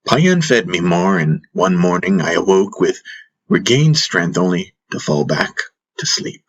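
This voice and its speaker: A man's voice: low-pitched, sing-song, measured pace, dull audio. A man narrating a fantasy audiobook in the first person, in a solemn, dramatic manner.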